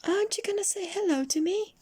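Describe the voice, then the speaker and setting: A man's voice: very high-pitched, fast talker, a little mellow, pitched above the performer's own voice. A man voicing a small, cheeky male goblin who teases in a sing-song way, in a children's storybook. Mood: cheeky, teasing.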